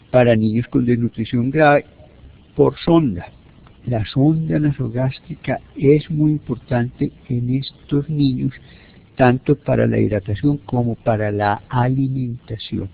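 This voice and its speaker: A man with a Colombian accent giving a medical lecture by teleconference, explaining step by step in a didactic, halting way. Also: mid-range voice, creaky. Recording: narrow, phone-like teleconference audio.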